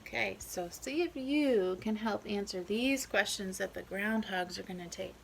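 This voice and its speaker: A woman's voice: medium pitch, moderate pace, creaky, slight background noise, low-quality recording. A woman teacher reading a children's picture book aloud, explaining things and checking in with young listeners.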